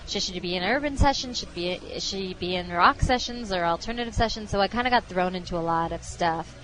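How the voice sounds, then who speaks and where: A woman singer-songwriter giving relaxed, casual interview answers at an outdoor fairground. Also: lowish voice, fairly quick, low-quality recording. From Canada. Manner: relaxed, laughs easily, uses um and like.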